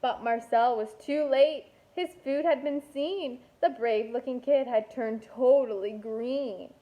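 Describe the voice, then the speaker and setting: High-voiced woman reading slowly to young children. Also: poor audio. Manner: expressive, deliberate storytelling with playful asides. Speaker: kindergarten teacher. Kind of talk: animated picture-book read-aloud for young children.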